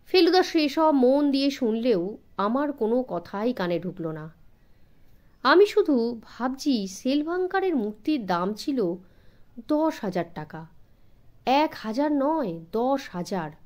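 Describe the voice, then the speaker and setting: A woman narrates a scripted audiobook for young listeners. Her voice sits high and moves widely up and down. The delivery is expressive storytelling.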